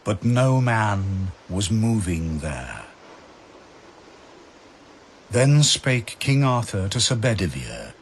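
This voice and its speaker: Deep, slow male dramatic narrator. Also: sing-song, a little hiss or hum. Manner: grave, theatrical, declamatory. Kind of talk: dramatic narration of epic verse.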